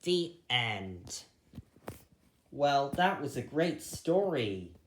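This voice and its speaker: A story reader narrating a storybook in an animated, deliberate way. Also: medium pitch, a little hiss or hum. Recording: roomy echo.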